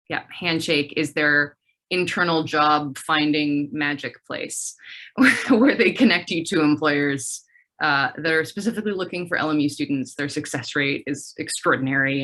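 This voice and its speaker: A woman hosting a relaxed conversation about a graduate program, talking in a chatty, upbeat way with frequent fillers. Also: sits low. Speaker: graduate program faculty host. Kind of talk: casual video-call chat.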